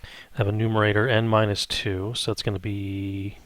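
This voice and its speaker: Male math instructor, lowish voice, dry close sound, informal tutorial delivery, thinks out loud and frequently tags on check-in questions. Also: level tone, normal speed, no echo. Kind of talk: improvised step-by-step spreadsheet tutorial.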